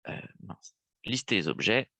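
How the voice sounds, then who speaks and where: A man's voice: lowish voice, lively intonation, unhurried, pushed and bright, no echo. A young man with a stuffy nose from a cold, casually walking viewers through a live trading lesson with lots of fillers. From France. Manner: casual, thinking aloud with frequent fillers. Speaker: trading webinar host.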